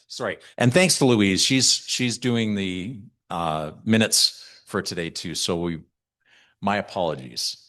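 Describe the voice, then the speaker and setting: A man chairing a church council meeting, reading out names haltingly and repeating words as he goes, in a meeting room. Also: low voice, a bit bright. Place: meeting room, also streamed online.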